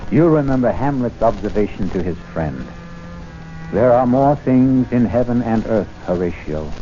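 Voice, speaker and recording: A man hosting a radio mystery drama, reading scripted lines in a measured, declamatory way. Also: fairly low pitch, warm tone, slight background noise. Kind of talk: scripted theatrical narration. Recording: dull lo-fi old radio with music.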